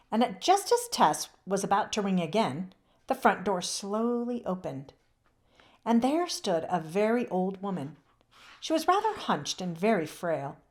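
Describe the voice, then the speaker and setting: A woman narrating a children's book aloud in an expressive storybook style. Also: medium pitch.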